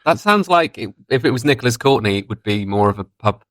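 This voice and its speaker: A man in his thirties chatting casually as a podcast co-host, joking in a deadpan way. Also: medium pitch, a little fast, dry recording, studio quality. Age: 30s. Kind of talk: casual bantering podcast chat. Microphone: online call.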